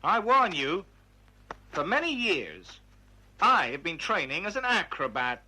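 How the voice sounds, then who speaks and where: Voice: medium pitch, sing-song, unhurried, sharp tone, full character voice. An actor playing a boastful, indignant would-be acrobat in a comic promo skit, on a very noisy recording.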